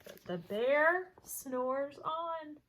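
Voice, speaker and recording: A woman's voice: high-pitched, very slow, a little hiss or hum, low-quality recording. A woman teaching young children, reading a picture book expressively and talking to them with questions. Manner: asks listeners questions, animated storytelling delivery.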